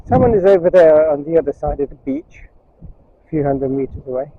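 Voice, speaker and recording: A man's voice: fairly high pitch, very animated, brisk, creaky, phone-quality sound. Chatty man vlogging on a windy beach. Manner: chatty, wandering asides, talks to viewers. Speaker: travel vlogger. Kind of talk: rambling walking vlog chat. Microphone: chest-strapped action camera mic. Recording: wind noise on a body camera mic.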